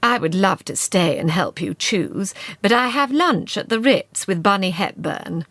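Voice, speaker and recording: A woman's voice: mid-range voice, expressive, unhurried, fairly bright tone, no room sound. Woman doing an imperious upper-class older lady. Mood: haughty. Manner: imperious, commanding.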